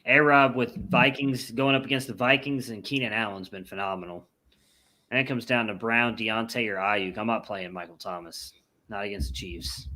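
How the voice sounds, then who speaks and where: Bright-voiced man in his thirties. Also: fairly low pitch, fairly even intonation, moderate pace. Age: 30s. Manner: blunt, swears when riled. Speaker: podcast co-host. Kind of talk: casual fantasy football chat.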